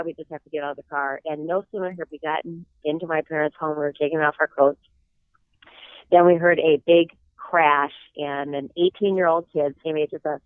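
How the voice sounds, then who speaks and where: A woman in her sixties with a slight cold-hoarseness, telling personal stories in an earnest, reflective way as an interview guest. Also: sits low, no echo. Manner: earnest, reflective, with some hesitant fillers. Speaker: guest author. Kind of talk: interview storytelling. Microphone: telephone. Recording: tinny phone line.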